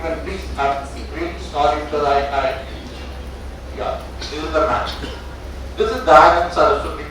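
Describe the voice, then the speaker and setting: An older man with an Indian English accent giving a joking, conversational lecture as a doctor at a memorial oration. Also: fairly high pitch. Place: large lecture hall. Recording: echoey hall, faint noise, from far off.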